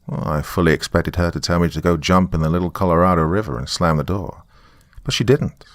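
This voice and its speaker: A man's voice: low-pitched, brisk, no room sound, studio quality, natural voice. A man playing a weary, frightened writer who narrates a horror story in musing, wry asides. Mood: wry, reminiscing. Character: weary, frightened male writer recording a confession on tape.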